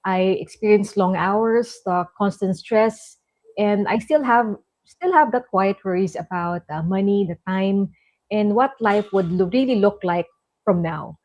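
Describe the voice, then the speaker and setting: A woman's voice: mid-range voice, measured pace, darkish tone, low-quality recording. A woman from the Philippines joins a live online interview as a guest. She speaks in a friendly, familiar way and calls the host sis.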